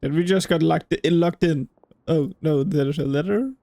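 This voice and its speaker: A woman's voice: sits low, moderate pace, dark tone, no echo, soft background music. A woman casually reacting as she plays a game on stream, close to the mic. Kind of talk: casual reactions over gameplay.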